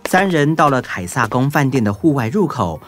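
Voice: mid-range voice, big pitch swings, moderate pace, over music. A narrator reading a scripted children's story.

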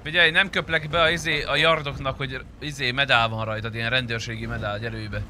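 A man's voice: mid-range voice, fairly even intonation, pushed and bright, a little hiss or hum. A man improvising roleplay in an online game, with a bossy, coarse-mouthed way of talking. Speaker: player leading a group in an online roleplay game.

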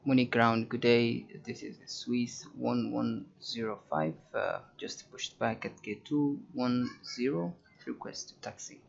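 A man giving halting, think-aloud flight simulator commentary and pilot radio calls. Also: mid-range voice, steady tone, gravelly, highs cut off. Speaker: host, virtual pilot.

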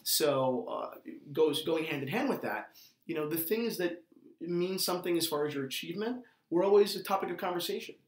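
A man's voice: on the high side, lively intonation, fairly quick. An American man in his early twenties chatting casually and explaining things, with lots of "like" and "you know". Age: early 20s. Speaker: co-host of a watch show. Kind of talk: casual chat. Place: small room indoors, seated at a table. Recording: slightly echoey room.

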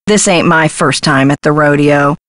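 A woman's voice: sits low, moderate pace, no echo, studio quality, natural voice. A woman voicing a brash, scheming Hollywood talent agent in a video game, glib and condescending, with slangy talk. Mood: scheming, enthusiastic.

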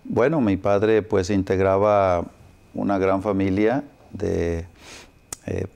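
A man in his sixties from Sonora, Mexico, a pastor answering interview questions in an unhurried storytelling way, with fillers and tag questions. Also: sits low, fairly even intonation, creaky, darkish tone, clear, resonant tone. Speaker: pastor, guest. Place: TV studio set. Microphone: lapel.

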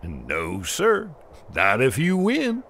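An actor voicing a gruff older police car sheriff in an animated game, with a folksy, scolding manner. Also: male voice, mid-range voice, extremely slow, slight background noise, full character voice. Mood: challenging. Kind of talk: animated game cutscene acting.

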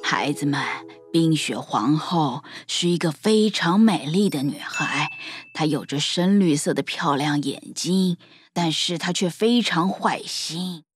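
A kindly cartoon grandmother with a deep, husky hush to her voice. She speaks to the children in a close, breathy, storytelling tone. The sound is dry. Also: expressive, measured pace, no room sound, faint music behind, heavily acted. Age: older. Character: kindly old grandmother telling tales. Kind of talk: cartoon dubbing.

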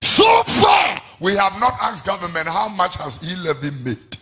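A middle-aged man with a Ghanaian accent, a pastor preaching a fiery sermon into a handheld mic in a large church hall, shouting in sing-song refrains. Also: sits high, wildly swooping pitch, a little slow, breathy edge.

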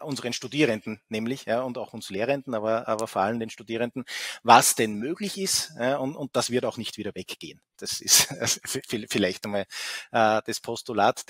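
A man's voice: mid-range voice, fairly bright tone, dry recording. A middle-aged man giving a keynote lecture over a video call, talking with many fillers and restarts. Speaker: keynote speaker. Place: office, indoors. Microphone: headset, video call.

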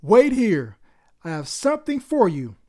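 A woman's voice: mid-range voice, sing-song, a little slow, dark tone. A woman voicing a proud, encouraging father in a children's storybook, speaking with reassuring emphasis.

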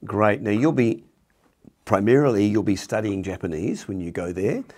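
A man's voice: lowish voice, lively intonation, moderate pace, darkish tone. A man in his sixties or older, interviewing guests conversationally on stage through a headset mic. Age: 60s or older. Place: indoor stage with curtain backdrop. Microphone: headset microphone.

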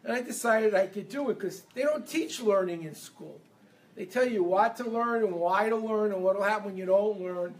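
A man from Brooklyn, giving a lively motivational seminar talk and getting the audience to answer back. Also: high-pitched, normal speed. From Brooklyn, New York. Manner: energetic, leads audience call-and-response. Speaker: seminar speaker. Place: event room with a live audience.

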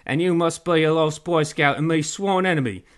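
A man's voice: a little high, moderate pace, voice pushed up, natural voice. A man voicing an indignant, dim-witted, angry male pirate in a comedic story reading. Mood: blustering. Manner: indignant, exclaiming.

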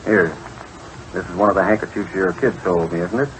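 An actor playing a brash, pushy newspaper reporter in an old radio drama, questioning insistently in slangy talk. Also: male voice, deep voice, fairly even intonation, a little fast, slight background noise, natural voice, low-quality recording. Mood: accusing, indignant.

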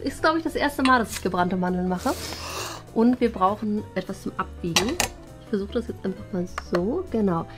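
A woman's voice: mid-range voice, a little fast, over music. A young woman co-hosting a DIY video, chatting casually and explaining cooking steps in a kitchen. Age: young adult. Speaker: host of a DIY video channel. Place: indoors, studio kitchen.

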